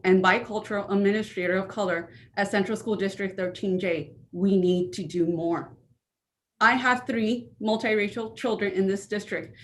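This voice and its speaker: A woman speaks in a brisk, determined way, giving a prepared presentation to a school board. Her voice sits at a middle pitch. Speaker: assistant principal.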